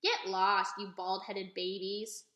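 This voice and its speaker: A woman's voice: mid-range voice, little pitch movement, slow pace, fairly bright tone, reverberant. A woman voicing a young preacher's daughter, a spunky but polite girl who speaks earnestly. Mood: defiant. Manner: earnest, hollers when upset.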